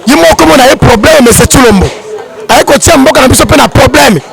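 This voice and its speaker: A man's voice: very high voice, fast, gravelly, a bit bright, a faint crowd. A man from DR Congo with a Congolese accent giving emphatic political commentary, repeating his points for weight.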